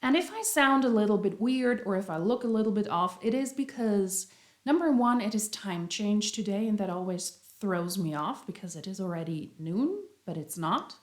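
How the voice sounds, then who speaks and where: A woman's voice: mid-range voice, moderate pace. A woman with a cold and a slightly nasal voice, chatting casually as she explains a topic to her viewers. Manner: chatty, self-deprecating, explains step by step. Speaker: YouTube commentary host. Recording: roomy echo.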